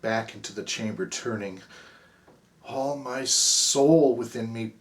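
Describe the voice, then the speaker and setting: A man giving a dramatic, expressive poetry recitation, swinging between hushed near-whispers and agitated outbursts. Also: medium pitch, takes it slowly.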